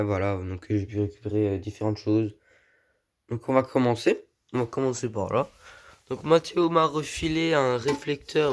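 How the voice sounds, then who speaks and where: A man with a hoarse voice from a cold, casually showing off old lighting gear he has collected, filling his talk with many small fillers. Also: fairly low pitch. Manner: rambling, many fillers like voilà and euh. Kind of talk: casual show-and-tell vlog.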